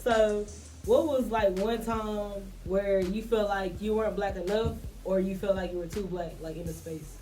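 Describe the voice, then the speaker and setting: A young woman hosts a podcast in loose, slangy conversation. Her pitch is average for a woman. She sounds a bit away from the mic, with music clearly playing behind her. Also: fairly even intonation, normal speed, dark tone, recorded from a distance, poor audio. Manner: slangy, informal. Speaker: podcast host. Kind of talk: casual group chat.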